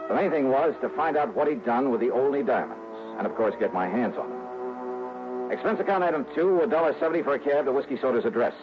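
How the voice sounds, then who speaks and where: An actor playing a tough, brisk American investigator who narrates his case in a 1950s radio detective drama, with clipped, insistent delivery. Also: medium pitch, animated, close to an everyday voice. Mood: wry, determined. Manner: hard-boiled, clipped, insistent. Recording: muffled old radio recording, music behind.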